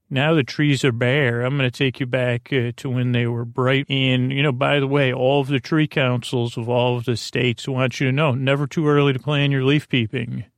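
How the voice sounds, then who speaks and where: Male bedtime podcast host: gravelly, flat-pitched, lulling, with meandering hesitant introductions. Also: dry recording, studio quality. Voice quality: creaky. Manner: meandering, hesitant, lulling delivery with self-corrections.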